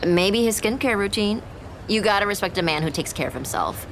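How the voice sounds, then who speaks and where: Sardonic young rich woman: medium pitch, big inflections, blunt and slangy, podcast romcom, noticeable ambient noise. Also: expressive, fairly quick, fairly bright tone. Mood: cool, proud. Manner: dry, sarcastic, slangy. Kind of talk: romcom podcast drama acting. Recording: clear ambient background noise.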